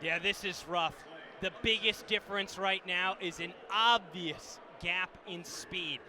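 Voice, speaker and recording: A man's voice: high voice, measured pace, pushed and bright, slight background noise. An American man giving live color analysis on a radio lacrosse broadcast in a conversational, critical way.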